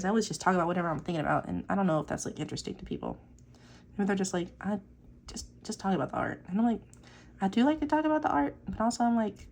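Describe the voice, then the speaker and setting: A young woman with a husky, gravelly voice rambles quickly through casual chat as she works on a drawing. Her pitch sits a bit low but swings widely. She tosses in fillers and the odd swear. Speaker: artist vlogger.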